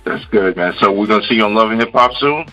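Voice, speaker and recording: Male radio host from Queens, low and punchy, casual talker, lo-fi sound. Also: fast, sharp tone, faint music behind. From Queens, New York. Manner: casual, repeats phrases and says "you know" often. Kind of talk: radio interview.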